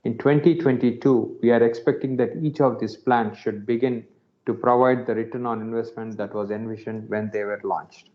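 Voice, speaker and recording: A man in a senior company role reading scripted business remarks in a formal, measured way. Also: medium pitch, steady tone. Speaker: senior company executive giving closing remarks. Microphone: conference call line. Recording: dull, lo-fi conference call audio.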